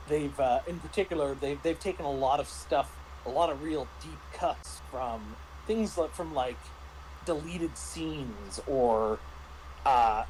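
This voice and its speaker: A man who works as a game master, giving a casual roundtable review in a thoughtful, halting way. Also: medium pitch, a little mellow, slight background noise, low-quality recording.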